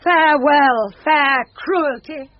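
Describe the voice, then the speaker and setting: An actress playing a spirited young page, a disguised woman, ardent and declamatory, with a breathy voice. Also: high-pitched, slow, dry recording. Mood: indignant. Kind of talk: classic Shakespearean radio acting. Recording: muffled 1970s radio recording.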